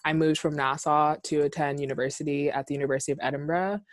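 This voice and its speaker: A woman's voice: deep voice, little pitch movement, fast talker, dry recording. A woman in her twenties from the Bahamas, co-hosting a podcast in a casual, chatty way, laughing easily. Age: 20s. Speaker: podcast co-host. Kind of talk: casual chat. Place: her own room.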